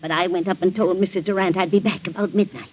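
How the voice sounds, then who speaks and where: Husky, anxious servant woman, played by an actress. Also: on the low side, fairly even intonation, quick pace, close to an everyday voice. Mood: frightened, upset. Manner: hesitant, deferential. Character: anxious, loyal female servant to a wealthy household. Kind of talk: 1940s radio acting. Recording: muffled old 1940s radio recording.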